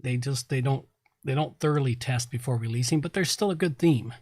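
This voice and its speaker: A man's voice: mid-range voice, a little fast, darkish tone, dry recording. Male podcast co-host, mid-range voice, blunt and informal, dry close sound. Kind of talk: casual opinionated tech chat.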